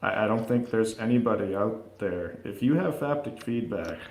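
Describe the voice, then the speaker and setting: A man with a fairly low voice banters about movies as a co-host. He is casual and wry, joking as he goes. The recording is noticeably echoey and roomy. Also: expressive, normal speed, a little mellow, slight background noise. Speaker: co-host of a film review show. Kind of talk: casual chat, film review banter. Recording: roomy, echoing sound.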